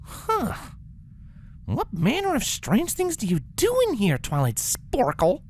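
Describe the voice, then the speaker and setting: A man's voice: extremely high pitch, wildly swooping pitch, slow, noisy background, voice pushed up, heavily acted. A man voicing a vengeful young female cartoon pony in a storybook reading, sarcastic and muttering to herself. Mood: scornful.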